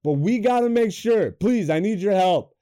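A young man hosting a comedy podcast in a studio, chatting loosely with lots of swearing, swinging between loud hype and low mumbles. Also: lively intonation, normal speed, clean tone, no echo. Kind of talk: casual podcast chat.

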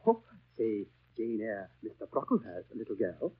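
An actor playing a pompous, sanctimonious school overseer, preaching sternly in 1930s radio drama style. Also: male voice, lowish voice, huge pitch swings, normal speed, breathy edge, full character voice. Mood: stern, condescending. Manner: pompous, preachy, exaggerated swoops. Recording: muffled vintage 1930s radio recording.

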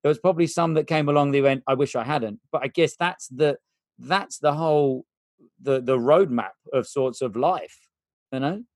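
A podcast host poses long, winding questions in a casual chat, padding them with fillers. His voice is mid-pitched and the sound is dry and close. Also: moderate pace, no room sound.

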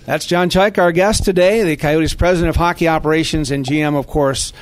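A man's voice: on the high side, steady tone, fairly quick. A man hosting a sports podcast in a conversational radio style, speaking earnestly and with complimentary phrasing.

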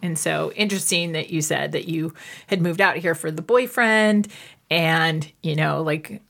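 A woman hosting a conversational intuitive reading, listening with frequent affirmations and explaining calmly. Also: deep voice, unhurried, gravelly. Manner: warm encouraging listener, frequent mm-hmms. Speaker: medical intuitive host. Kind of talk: casual conversation.

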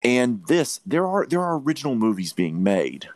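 A man's voice: mid-range voice, very animated, normal speed, a little mellow, dry recording, clean studio sound. A man hosting a podcast, giving opinionated movie reviews in an emphatic way, repeating phrases for stress. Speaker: podcast host reviewing films.

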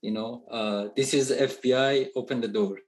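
A man whose first language is Persian, a touring music producer chatting as a guest, halting with many fillers and restarts, playful. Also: mid-range voice, fairly even intonation. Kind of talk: casual interview chat.

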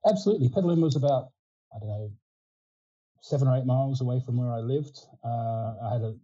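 A man's voice: mid-range voice, quick pace, dull audio. A man in his sixties with an Australian accent, a Ukrainian first language, chatting loosely as an interview guest with many fillers. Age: 60s. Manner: relaxed and rambling, many fillers and restarts. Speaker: guest, winemaking consultant. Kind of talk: casual interview chat.

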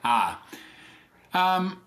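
A man's voice: sits high, very animated, extremely slow, fairly bright tone. A middle-aged man, a doctor, answering interview questions in a rambling, enthusiastic way with many restarts. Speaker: guest, doctor. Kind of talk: casual interview.

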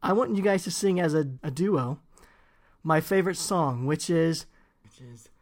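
A man's voice: fairly high pitch, expressive, normal speed, natural voice. A man playing a chummy monkey karaoke boss. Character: tall floating monkey karaoke owner, chummy.

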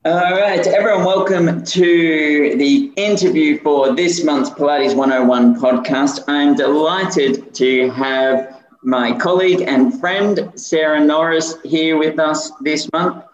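A man's voice: a little high, slow pace, highs cut off, faint music behind. An enthusiastic man hosting a podcast, introducing and questioning his guest in an upbeat tone. His voice sounds roomy, bouncing off the walls of an echoey space. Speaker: podcast host.

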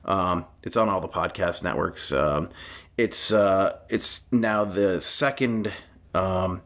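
A man with a loud, booming, low-pitched voice chats on a casual podcast. He gets animated and stumbles through restarts and fillers as he talks. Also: slow. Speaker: podcast co-host, union chief steward.

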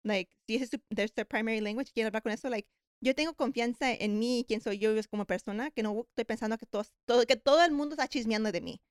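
A woman's voice: fairly high pitch, fast, clean tone, no room sound, clean studio sound. A woman hosting a podcast, reacting eagerly and telling stories while mixing Spanish and English. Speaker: host. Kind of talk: casual bilingual conversation.

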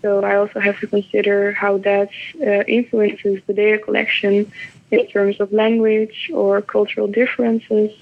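A woman's voice: medium pitch, monotone, moderate pace, vocal fry. A young Dutch woman, a doctoral researcher, answers interview questions with many hesitant fillers. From Netherlands. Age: young adult. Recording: tinny phone-like line.

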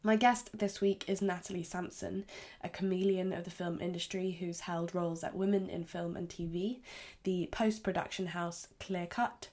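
A woman's voice: fairly low pitch, fairly even intonation, moderate pace, darkish tone. A woman hosting a podcast. She opens the show and interviews her guest in a friendly, conversational way. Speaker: podcast host.

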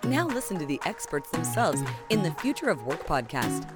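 A woman's voice: deep voice, sing-song, normal speed. A woman voicing a podcast intro with a sing-song promotional delivery. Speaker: announcer. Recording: loud music bed behind.

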